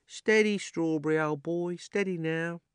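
A man voicing a blustering red-faced male London cab driver, loud and coaxing. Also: fairly high pitch, measured pace, clean tone, dry recording, studio quality, pitched above the performer's own voice, natural voice. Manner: loud, coaxing, drops and adds h's.

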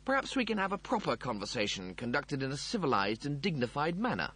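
Audiobook spaceman, male reader: self-important and slow-witted, wordy delivery, very lively pitch. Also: husky. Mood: smug calm. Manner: pompous, wordy. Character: pompous, slow-witted man aboard a spaceship, easily alarmed.

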